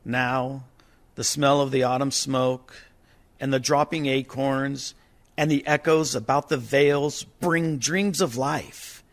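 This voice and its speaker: A man as a creaky, wistful dead villager. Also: medium pitch, steady tone, slow pace. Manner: reflective, lyrical. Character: wistful dead villager dreaming of his youth. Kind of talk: dramatic verse monologue for radio.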